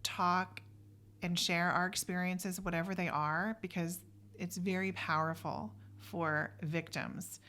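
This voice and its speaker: A woman's voice: on the low side, steady tone, slow pace, bright, forceful tone. An American woman from Oklahoma hosting a conversational interview in an informal, reflective and encouraging way. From Oklahoma, United States. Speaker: podcast host.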